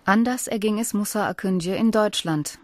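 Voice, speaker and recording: A woman reading scripted documentary narration in a measured, even way. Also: medium pitch.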